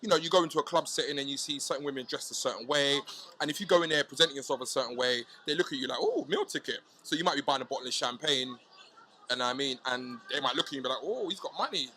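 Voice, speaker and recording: A man chatting casually as a hip hop artist guest, animated and slangy, often asking if you know what he's saying. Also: medium pitch, quick pace, a little hiss or hum. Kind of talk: casual podcast chat.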